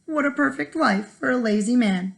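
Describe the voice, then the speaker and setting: A woman's voice: on the high side, moderate pace, creaky. A woman voicing a lazy, boastful village man in a children's storybook, in a proud, smug manner. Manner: proud, bragging storybook delivery.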